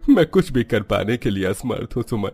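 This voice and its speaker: A man's voice: medium pitch, very animated, moderate pace, darkish tone, slightly rough, no echo, soft background music. A man voicing a poor, proud, despairing husband in a cartoon family melodrama, with a plaintive delivery. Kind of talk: Hindi animated family melodrama.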